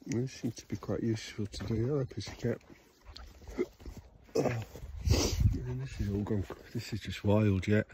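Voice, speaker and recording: A man giving a relaxed, rambling outdoor tour of his smallholding, filmed on a handheld phone. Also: fairly low pitch, measured pace, long pauses, noisy background. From Scotland. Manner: relaxed, rambling, with restarts and fillers. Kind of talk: casual walking tour chat. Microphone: handheld phone camera.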